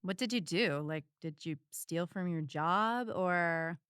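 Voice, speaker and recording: A woman playing a deadpan, irritable assistant in an audio drama, blunt and sarcastic. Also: lowish voice, slow pace, smooth, no room sound, clean studio sound.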